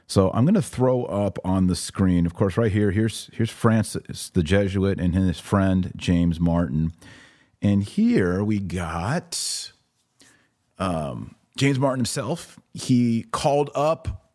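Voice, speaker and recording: An American man hosting a religious commentary podcast, speaking emphatically with deliberate pauses for stress. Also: low voice, darkish tone. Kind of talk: opinionated commentary to camera.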